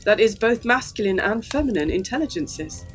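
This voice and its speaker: A woman's voice: medium pitch, lively intonation, moderate pace, faint music behind, close to an everyday voice, low-quality recording. A woman playing an anxious, well-bred young Edwardian daughter, polite and earnest, in a gothic audio drama. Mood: solemn reading.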